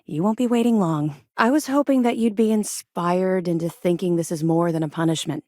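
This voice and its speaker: A dutiful female military officer from a space video game, played by an actress. Her voice sits a little low and is recorded very dry. She speaks frankly, switching between crisp service formality and wry, informal candor. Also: a little fast, a little mellow, dry recording, close to an everyday voice. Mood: businesslike, confident.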